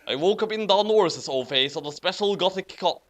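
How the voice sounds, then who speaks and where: Man acting teenage goth girl narrator, melodramatic, theatrical, raised pitch, storybook-style reading. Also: expressive, fairly quick.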